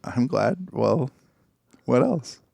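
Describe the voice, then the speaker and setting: Man, creaky mid-low voice, unhurried, casual comedy podcast banter, dry recording. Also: animated, darkish tone, dry recording. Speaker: podcast co-host.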